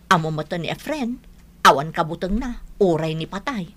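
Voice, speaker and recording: A woman's voice: on the low side, lively intonation, normal speed, fairly bright tone. A woman acting an earnest, pious, gossipy Filipino village neighbour in an Ilocano radio drama. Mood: alarmed.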